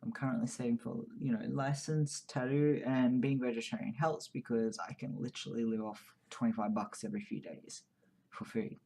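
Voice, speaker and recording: A man's voice: mid-range voice, flat delivery, moderate pace, gravelly, mellow, slightly rough. A man in his twenties vlogging in a casual, rambling and candid way, often laughing at himself. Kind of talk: casual personal chat to camera. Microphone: new microphone, quiet level.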